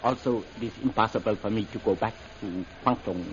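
An actor playing a guarded, courteous renegade general in an old radio serial, speaking in a formal, terse way. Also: male voice, lowish voice, a little fast, close to an everyday voice. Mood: grave. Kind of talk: old-time radio adventure serial acting. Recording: muffled old radio recording, faint noise.

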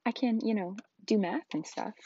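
A woman's voice: mid-range voice, mellow, slight background noise, close to an everyday voice. Woman as a puzzled young boy, slightly muffled recording. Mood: hesitant. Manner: tentative, halting, questioning. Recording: roomy, dull lo-fi home recording.

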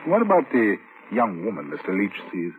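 An actor playing a methodical, courteous police inspector in a vintage radio drama, speaking formally and with measured care. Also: male voice, lowish voice, huge pitch swings. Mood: polite questioning. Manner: formal, measured, probing questions. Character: methodical, courteous male police inspector narrating his investigation. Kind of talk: 1940s-1950s radio drama acting and first-person narration. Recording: tinny, hissy vintage radio recording.

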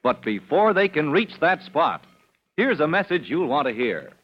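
Dramatic male old-time radio announcer, highish voice. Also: big pitch swings, moderate pace, breathy edge, faint music behind. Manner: declamatory, emphatic. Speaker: announcer and narrator. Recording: dull old radio recording, faint noise.

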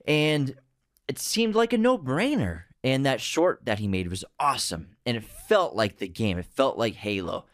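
A man co-hosting a podcast, chatting casually and enthusiastically, his voice jumping up when he gets excited. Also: medium pitch, normal speed, no room sound, studio quality. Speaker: podcast co-host. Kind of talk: casual chat.

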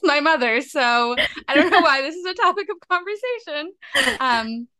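A young woman from the United States hosting a casual podcast conversation, chatty and candid with quick asides. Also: sits high, animated, pushed and bright, no echo. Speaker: podcast host.

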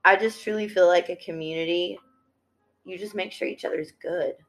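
Slangy lower-voiced woman in her thirties. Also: normal speed, gravelly. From Tennessee. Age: 30s. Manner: slangy, animated, peppered with "like" and "yo". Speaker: thrift and sewing guest. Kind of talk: casual podcast conversation.

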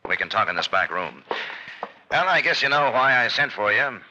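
An actor playing a wary, scheming American outlaw boss in an old radio western. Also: male voice, medium pitch, sing-song, moderate pace, lightly acted. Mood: menacing. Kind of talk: 1940s-50s radio western acting. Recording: muffled, noisy vintage radio recording.

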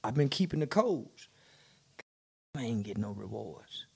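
A man's voice: mid-range voice, sing-song, a little slow, creaky, a little mellow, breathy edge, pitched below the performer's own voice, heavily acted. A man voicing a loyal but fed-up young jailed gang member, pleading and aggrieved in street slang.

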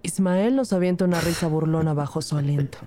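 A woman's voice: low-pitched, a little fast, warm tone, slight background noise, natural voice. An actress playing an observant investigator, narrating her case in the first person in a wry, matter-of-fact way. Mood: wry narration.

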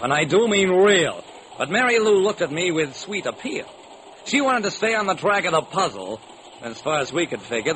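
Animated, wry radio detective. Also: male voice, high voice, exaggerated voice. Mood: wry narrating. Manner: theatrical, sing-song rhyming delivery. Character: wry rhyming private detective telling his own case. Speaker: narrator and lead actor. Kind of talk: old-time radio detective acting, rhyming scripted narration. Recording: noisy vintage radio recording.

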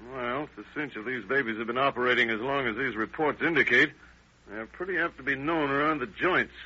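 An actor playing a tough, matter-of-fact police detective, terse and slangy, in an old radio drama. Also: male voice, medium pitch, brisk, husky, close to an everyday voice. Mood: terse, businesslike. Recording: dull vintage radio recording.

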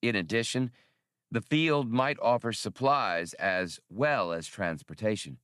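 Male narrator, fairly deep, reading science fiction adventure prose, close dry sound with no room. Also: expressive, unhurried, a bit bright, smooth, dry recording. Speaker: audiobook narrator.

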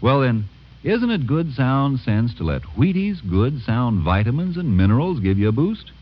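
A man's voice: mid-range voice, sing-song, normal speed. An American man reading a classic radio advertisement in a folksy, chatty sales manner. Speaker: announcer and pitchman. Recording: dull vintage radio recording.

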